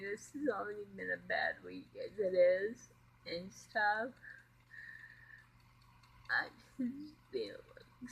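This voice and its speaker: A middle-aged woman talks through an emotional personal confession, rambling and upset. Her voice is husky and breathy at an ordinary middle pitch. She keeps it hushed at home at night so she won't wake anyone. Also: rough recording. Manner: upset, rambling, keeping quiet so as not to wake others. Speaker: vlogger.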